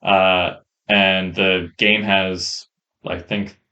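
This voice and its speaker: A man co-hosting a podcast, reviewing games in a casual, enthusiastic ramble on a rough-sounding mic. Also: low voice, level tone, drawn-out words, bright, forceful tone, muffled recording. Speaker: podcast co-host. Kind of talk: casual chat, game review.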